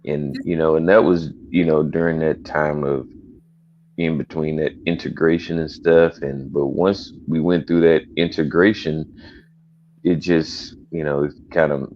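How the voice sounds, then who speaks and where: A man with a very deep voice tells a family story slowly and plainly. He laughs big between lines. The sound is dull and lo-fi. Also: level tone, a little mellow, a little hiss or hum. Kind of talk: casual family chat.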